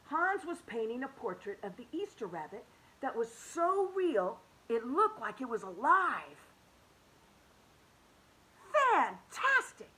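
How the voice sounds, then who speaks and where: A woman reading a children's picture book aloud with a fairly high, very lively voice. She is animated and expressive, swinging up for excitement and dropping to soft, hushed tones. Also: measured pace, poor audio. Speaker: children's story reader.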